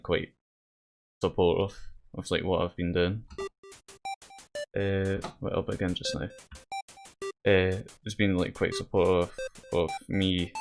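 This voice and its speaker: A man's voice: low-pitched, creaky. A man giving casual let's play commentary in a hesitant, rambling way, sometimes reading game dialogue aloud. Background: game music.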